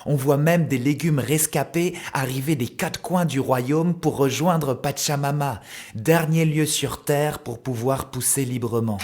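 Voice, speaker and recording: A man's voice: mid-range voice, a little mellow. A man narrating a children's cartoon story as a playful storyteller in scripted style. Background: music, plucked guitar.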